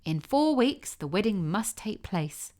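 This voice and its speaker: A woman voicing a bossy female field mouse in a storybook, scolding briskly and insistently. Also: mid-range voice, big pitch swings, darkish tone.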